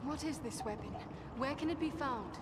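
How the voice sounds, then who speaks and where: A woman's voice: high-pitched, moderate pace, noisy background, close to an everyday voice. A woman playing an earnest, resolute healer and adventurer in a fantasy video game, with poised, formal delivery. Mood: concerned.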